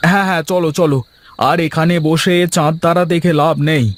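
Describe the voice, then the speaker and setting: A man's voice: on the high side, fairly even intonation, brisk, close to an everyday voice. A man voicing a weary, grumbling village farmer in a cartoon folk tale, with exclamatory, folksy talk. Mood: pleased, musing.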